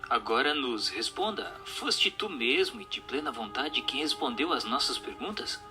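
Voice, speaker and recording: A formal, inquisitive séance investigator, acted by a man in a radio drama. His delivery is very bright and forceful, with lively swings in pitch, and music plays softly behind his measured, scripted words. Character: formal, inquisitive séance questioner and narrator, male. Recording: music under the voice.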